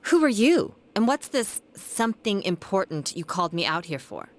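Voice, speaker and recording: A woman's voice: medium pitch, very animated, moderate pace, natural voice. An actress playing a haughty, indignant woman in a video game, curt and affronted. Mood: indignant, alarmed. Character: haughty, indignant adult woman under threat. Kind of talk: dramatic video game voice acting.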